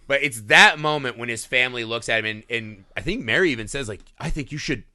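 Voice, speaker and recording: A middle-aged man co-hosting a casual film podcast, swearing freely and acting out scenes in quick voices. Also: medium pitch, no room sound, studio quality. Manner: profane, animated, acts out scenes in voices. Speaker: podcast co-host.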